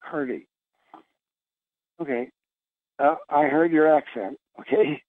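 A man from Philadelphia with an American accent, hosting a casual, rambling conference call over the phone, haltingly repeating his phrases. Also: a little high, no room sound. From Philadelphia, USA. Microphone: phone line on conference call. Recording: dull, lo-fi phone-call radio sound.

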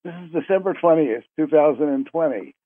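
A man hosting a spiritual conference call by telephone, speaking informally and deliberately in short phrases with long pauses. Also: on the high side, animated, no room sound. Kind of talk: informal spoken introduction to a live group call. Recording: muffled telephone conference line.

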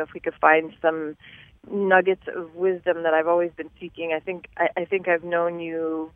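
A woman hosting a casual interview show, chatty and quick to laugh, with many fillers. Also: on the low side, clear, resonant tone, dry recording. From New Jersey. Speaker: host. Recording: tinny phone-like line, dry.

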